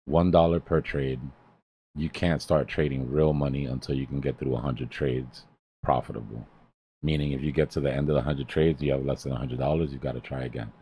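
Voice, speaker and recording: A man's voice: extremely low pitch, steady tone, brisk, mellow, a little hiss or hum. A man hosting a live trading stream, talking casually like a mentor in a blunt, emphatic way and repeating himself for stress.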